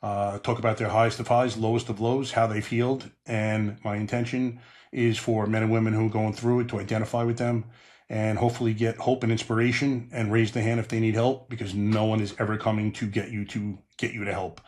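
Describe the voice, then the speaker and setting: A man's voice: fairly low pitch, level tone, a little fast, darkish tone. A middle-aged man with a Long Island New York accent, hosting a podcast in plain, earnest talk with frequent "uh" fillers. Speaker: podcast host. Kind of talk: podcast monologue and interview.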